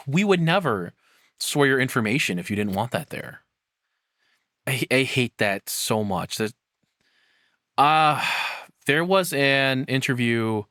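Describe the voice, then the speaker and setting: A man's voice: mid-range voice, a bit bright, no room sound, clean studio sound. A man giving casual, rambling reaction commentary as a streamer, swearing freely in an exasperated way.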